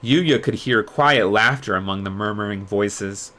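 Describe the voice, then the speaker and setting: A man reading a story aloud as a streamer, in an expressive storybook narration style. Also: lowish voice, measured pace.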